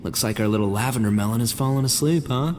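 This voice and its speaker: A man's voice: medium pitch, fast talker, in a large hall, heavy background noise, lightly acted. A man playing a boisterous, easygoing, big-hearted brawler in a video game, with a casual, slangy delivery. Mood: fond, worried. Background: faint background music.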